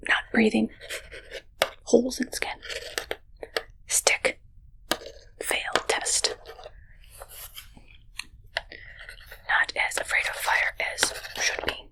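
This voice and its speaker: A woman voicing a curious, suspicious alien who poses as a human medical examiner in an ASMR roleplay, speaking in halting, clipped, detached phrases. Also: fairly high pitch, flat delivery, creaky, exaggerated voice, poor audio.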